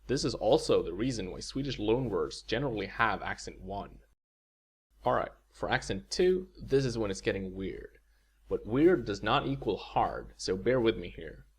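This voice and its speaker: A man delivers a scripted video lecture in a low, slightly husky voice. He explains step by step in a clear, measured way. He pauses to say example words for the listener to repeat. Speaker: language teacher.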